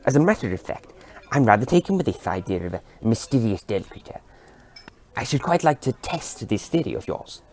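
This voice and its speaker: A man's voice: medium pitch, big pitch swings, brisk, a little mellow, a little hiss or hum. A man voicing an eccentric, courteous old time traveller, curious and musing in a genteel manner. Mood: keen, curious. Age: older. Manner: musing, genteel, with hesitant interjections.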